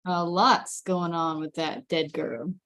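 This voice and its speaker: A woman's voice: on the low side, fairly even intonation, slow pace, fairly bright tone. A woman playing a blunt, shrewd detective in a script table read, terse and probing. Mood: blunt, sly.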